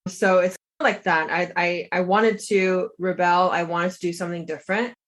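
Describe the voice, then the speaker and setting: Woman in her 30s, grew up in south korea, lower voice, thinks out loud, lots of like and restarts. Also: steady tone, moderate pace. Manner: reflective, chatty, lots of "like" and restarts. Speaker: guest, lawyer and health coach. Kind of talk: casual interview answers.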